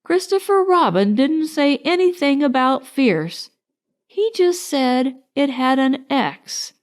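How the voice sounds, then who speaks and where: A woman's voice: sits high, very animated, slow, voice pushed up, full character voice. A woman voicing a cheerful, naive, bumbling toy bear in a storybook, eager and muddling his words. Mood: excited.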